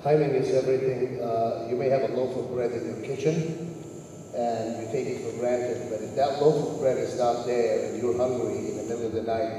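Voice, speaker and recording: A man on a panel offers calm advice in a very dark, mellow voice. He is heard from a distance, with long hall reverb and faint noise. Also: mid-range voice. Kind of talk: panel answer. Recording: echoey hall, distant mic.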